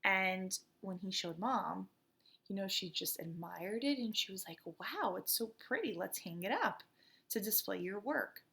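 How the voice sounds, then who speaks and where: Chatty woman principal with a gravelly voice. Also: mid-range voice, a little slow. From United States. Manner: relaxed and chatty, with many fillers. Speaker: school principal. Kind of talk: reading a storybook aloud to children.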